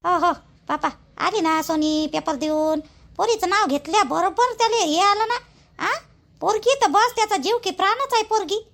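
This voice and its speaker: A man's voice: very high voice, moderate pace, creaky, fairly bright tone, exaggerated voice. A man voices a nagging, long-suffering village wife in a cartoon, insistent and repetitive, with a Vidarbha Marathi accent. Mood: fondly amused.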